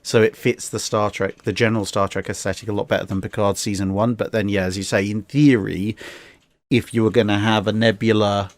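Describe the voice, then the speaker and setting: Low-pitched man in casual, analytical discussion. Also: level tone, no echo. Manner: measured, analytical, opinionated. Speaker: guest commentator.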